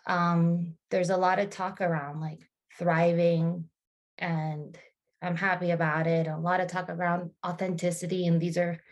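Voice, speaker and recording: Thirtysomething woman on a podcast, lowish voice, kinda halting, lots of restarts. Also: flat delivery. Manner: thoughtful, halting with many restarts and fillers. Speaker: podcast guest. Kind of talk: casual interview conversation.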